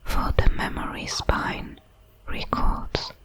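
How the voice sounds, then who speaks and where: A solemn, curious alien shard of a hive mind, played by a woman, speaks in a sci-fi ASMR audio drama. The delivery is a bright, breathy whisper, extremely slow and deliberate. It halts over its words as if its mind were new. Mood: solemn wonder.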